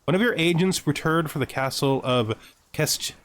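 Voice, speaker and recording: A man's voice: on the high side, moderate pace, fairly bright tone. A man commentating casually on a video game he plays, thinking aloud and reading text from the screen. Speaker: gameplay streamer.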